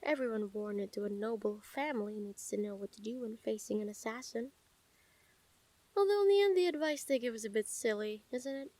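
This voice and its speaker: A woman playing a calm, menacing yet smitten assassin in an intimate audio roleplay, speaking softly and teasingly with deliberate pauses. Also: fairly high pitch, dry recording, lightly acted. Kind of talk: intimate scripted audio roleplay addressed to the listener.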